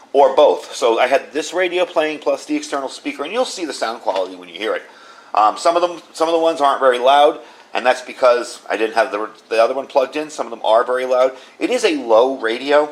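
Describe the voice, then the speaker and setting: Middle-aged male reviewer, mid-pitched, casual and explanatory, leans on repetition for emphasis in hands-on demos. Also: rough recording. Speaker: video host reviewing radio gear. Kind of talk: casual product demo commentary.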